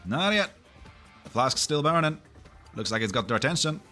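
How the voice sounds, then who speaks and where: A man's voice: mid-range voice, sing-song, normal speed, sharp tone, soft background music. A man playing a tense, suspicious fighter in an amateur sci-fi game fan dub. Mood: urgent.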